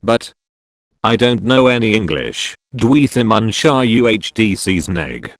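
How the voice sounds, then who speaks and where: A man doing a halting old widower. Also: fairly low pitch, expressive, no echo, clean studio sound. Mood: confused. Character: cheerful elderly Welsh-speaking widower.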